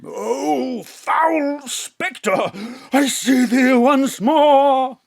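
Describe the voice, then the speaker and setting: A woman doing a gravelly old ham actor. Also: sits high, drawn-out words, heavily acted. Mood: raving. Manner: grand Shakespearean declaiming. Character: old male stage actor, doddering and theatrical. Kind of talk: radio play acting.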